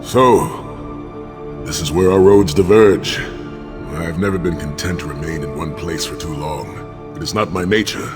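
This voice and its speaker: A man playing a noble, stoic and philosophical warrior in a video game, speaking in a measured, formal, earnest way. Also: deep voice. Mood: earnest, reflective. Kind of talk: video game character acting. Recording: long hall reverb, clear music behind.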